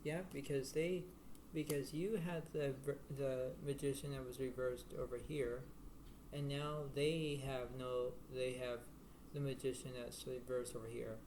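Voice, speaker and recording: Halting man reading tarot over background noise. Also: little pitch movement, darkish tone. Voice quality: low-volume voice. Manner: halting, repetitive, rambling. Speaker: tarot card reader. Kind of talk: casual tarot reading, addressing the viewer. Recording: noisy homemade recording with room ambience.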